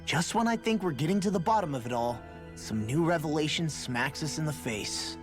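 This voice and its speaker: A man's voice: a little high, expressive, normal speed, close to an everyday voice. An actor voicing a blunt, hot-tempered young male fighter in a game. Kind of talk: video game voice acting. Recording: game audio with faint music.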